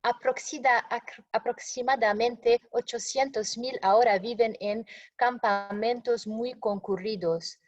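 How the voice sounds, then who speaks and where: A woman presenting slides in a webinar in a language that is not her native one, pausing often with fillers and restarting words. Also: on the high side, fairly even intonation. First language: not Spanish. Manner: halting, frequent fillers and self-corrections.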